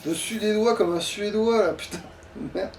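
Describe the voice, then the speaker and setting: Male let's player, high-pitched voice, grumbling casual commentary, distant mic. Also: moderate pace, a little mellow. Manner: sweary, grumbling. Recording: distant mic, faint background noise.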